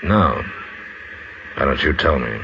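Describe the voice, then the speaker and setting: Steady, protective frontier marshal, very low voice, few words, muffled old radio drama. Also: male voice, takes it slowly, gravelly, noisy background, full character voice. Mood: calm, firm. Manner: laconic, dry, steady. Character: calm, tough, protective Old West marshal. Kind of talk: 1950s radio western acting.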